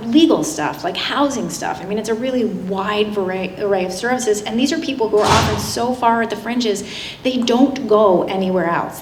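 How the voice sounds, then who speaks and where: Earnest woman speaker in a roomy hall. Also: on the low side. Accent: Vermont. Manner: earnest, thoughtful, with frequent fillers. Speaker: guest speaker and writer. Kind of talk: talk with audience discussion. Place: community hall. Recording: roomy hall with faint noise.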